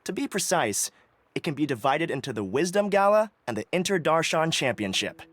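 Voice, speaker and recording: A man's voice: fairly high pitch, big pitch swings, fairly quick. A man voicing a polite young male festival organizer in a video game, courteous and formal. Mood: explanatory. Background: soft game music.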